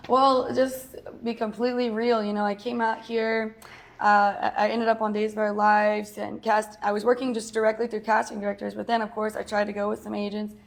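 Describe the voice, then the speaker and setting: A young woman with a raspy, slightly hoarse voice, chatting casually as an interview guest and laughing often. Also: mid-range voice, flat delivery, fairly quick. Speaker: guest, actress and singer.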